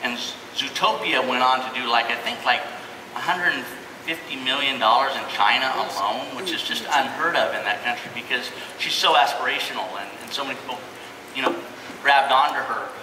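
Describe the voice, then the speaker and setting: A man's voice: mid-range voice, brisk. A man hosting a casual interview on a convention stage, encouraging and enthusiastic as he puts questions to a guest. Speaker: panel host, interviewer. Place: large indoor convention stage. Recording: echoey noisy hall, crowd, distant PA.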